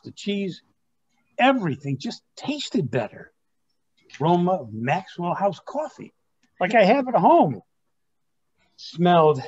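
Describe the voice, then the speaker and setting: An earnest, self-absorbed young grandson played by a man in a comic play reading. His voice is husky and swings widely in pitch as he eagerly, haltingly explains himself. The sound is a bit dull, like a video call. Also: a little mellow, heavily acted.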